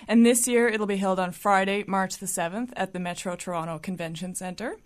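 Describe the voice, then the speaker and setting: A woman guest on a radio show talks about the event she organizes. She is friendly and promotional, with easy laughter, and her pitch sits in the middle of the range. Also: a little fast. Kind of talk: casual radio chat.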